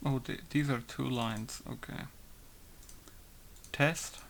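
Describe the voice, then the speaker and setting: A young man talks through his game modding at an ordinary pitch. He rambles, trails off and mutters test words to himself, then chats loosely to viewers. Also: steady tone, takes it slowly, creaky, a little hiss or hum. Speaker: game modder. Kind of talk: casual talk while working on a tutorial.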